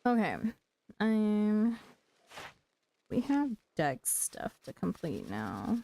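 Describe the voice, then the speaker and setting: A woman's voice: medium pitch, huge pitch swings, very slow, gravelly, mellow. A woman giving casual livestream commentary on a video game, muttering to herself as she plays.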